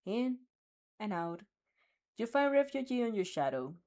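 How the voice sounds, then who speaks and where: A woman's voice: a little high, a little slow. Young woman reading fanfic expressively. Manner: expressive, giggles at her own slips. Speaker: fanfic reader. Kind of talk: second-person audio fanfic reading.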